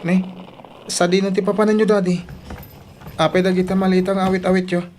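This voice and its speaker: A man's voice: high-pitched, flat delivery, a little fast, a little mellow, slight background noise, lightly acted. A man with an Ilocano accent playing a troubled, dutiful young eldest son in a radio drama. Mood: pleading, indignant.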